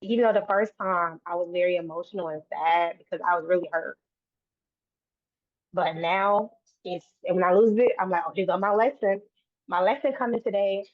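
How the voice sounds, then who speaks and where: A woman speaks earnestly and a little low, often restarting her phrases. The sound is tinny and phone-like, with no room echo at all. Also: moderate pace. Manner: earnest, repeats and restarts phrases, faith-filled. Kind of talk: casual interview conversation. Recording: dry, tinny phone-like line.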